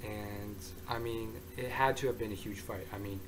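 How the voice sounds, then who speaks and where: Hoarse, excitable man reviewing. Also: on the low side, moderate pace, background noise. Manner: excitable, rambling, with many restarts. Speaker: manga reviewer. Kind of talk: casual review monologue.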